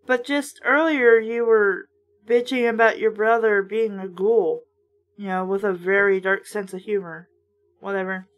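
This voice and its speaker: A woman's voice: medium pitch, steady tone, a little slow. A woman reading a storybook aloud and breaking off for casual, sarcastic, sweary commentary. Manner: blunt, sarcastic, swears freely.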